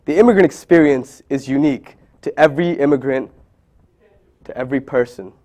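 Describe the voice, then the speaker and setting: A man's voice: medium pitch, a little fast, dark tone. Earnest man reading a documentary narration. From Bangladesh. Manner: earnest, reflective, read from a script. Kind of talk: scripted narration.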